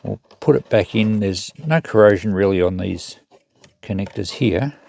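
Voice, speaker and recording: A man's voice: lowish voice, normal speed, creaky, darkish tone, a little hiss or hum. A man giving casual, unscripted commentary as he thinks aloud through a hands-on repair job. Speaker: host of a repair channel.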